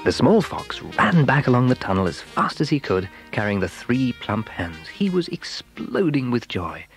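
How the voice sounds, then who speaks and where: A man narrating a children's story in a dramatic, expressive, suspenseful way, with a husky, breathy voice. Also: medium pitch, normal speed, faint music behind, poor audio. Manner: expressive, suspenseful, building excitement.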